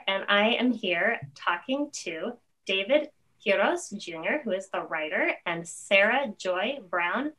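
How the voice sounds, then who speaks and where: A middle-aged woman interviews guests over a video call from a room at home. Her voice is mid-pitched and conversational. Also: bright, forceful tone, low-quality recording. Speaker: host, interviewer. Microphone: computer video call.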